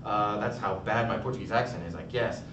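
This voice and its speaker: A man's voice: steady tone, fairly quick. A young American man giving a recorded academic conference talk in a casual, chatty way, full of fillers and restarts. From United States. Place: college room. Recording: distant, echoey, dull, noisy rumble.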